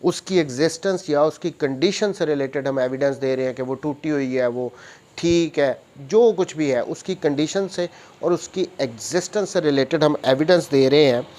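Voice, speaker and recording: A man's voice: fairly high pitch, normal speed, darkish tone. A man with a Pakistani accent lecturing on law, reading out clauses and explaining them methodically, rephrasing each point.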